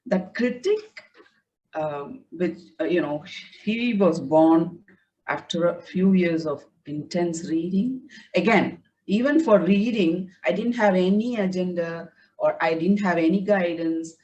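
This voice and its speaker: Woman, native Tamil speaker, low unhurried voice, halting reflective guest heard from a distance on a video call. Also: darkish tone, muffled recording. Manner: hesitant, many fillers and restarts. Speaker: interview guest, writer. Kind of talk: reflective conversational interview.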